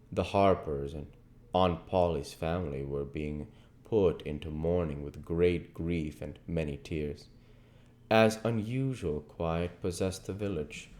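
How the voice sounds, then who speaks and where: A man narrating a classic storybook as a reader, in measured phrases. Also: low voice, darkish tone. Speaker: audiobook reader and channel host.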